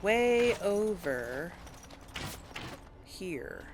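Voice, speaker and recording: A woman's voice: mid-range voice, big pitch swings, extremely slow, background noise. A woman hosting a casual video game let's play, thinking aloud as she explores, with faint game sound behind her.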